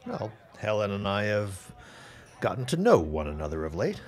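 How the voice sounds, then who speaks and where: An actor playing a genial, chatty gentleman guest in a period audio drama, wordy and polite. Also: fairly low pitch, wildly swooping pitch, normal speed.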